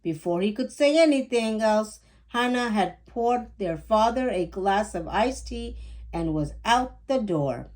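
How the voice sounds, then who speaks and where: A woman storyteller reading a children's book aloud in a measured, expressive way. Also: mid-range voice. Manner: measured, acts out dialogue. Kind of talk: expressive scripted read-aloud narration.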